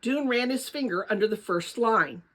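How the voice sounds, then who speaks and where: A woman narrating a children's novel aloud in an expressive storybook style. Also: a little high, normal speed.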